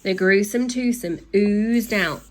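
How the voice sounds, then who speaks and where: A woman reads a children's story aloud at an unhurried pace. Her delivery is lively, with playful voices and sound effects for the action. Her voice sits in a middle pitch. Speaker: storybook reader. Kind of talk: reading a children's book aloud.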